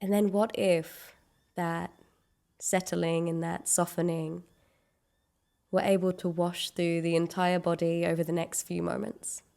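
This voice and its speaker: Lady doing guided meditation, really slow, bit of gravelly fry in her voice, gentle. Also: fairly low pitch, little pitch movement. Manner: gentle, unhurried instruction with long pauses.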